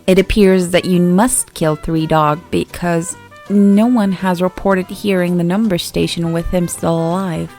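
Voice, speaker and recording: A woman with a slightly low voice narrates an eerie internet story from a script. Her delivery is measured and expository, as a read-aloud. Soft music plays behind her. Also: steady tone. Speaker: creepypasta narrator. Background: background music.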